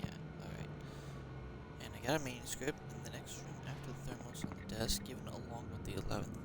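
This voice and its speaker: A man's voice: mid-range voice, brisk, very noisy. A man giving casual live playthrough commentary as a game streamer, wisecracking and talking back to the game. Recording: loud game music behind voice.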